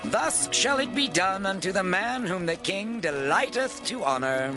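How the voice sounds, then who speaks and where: An actor playing a proud, scheming royal minister in a dramatized scripture recording, speaking in a declamatory, boastful way. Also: male voice, sits high, lively intonation, a little slow. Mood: proclaiming. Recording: dry studio voice with faint music.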